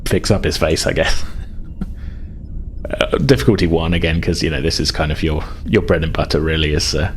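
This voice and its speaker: Low-pitched man running a tabletop game. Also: brisk, slight background noise. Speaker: game master. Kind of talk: casual tabletop game narration.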